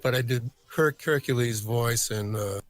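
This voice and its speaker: A man answering as an interview guest, a voice actor talking casually in a halting, reflective way. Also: medium pitch.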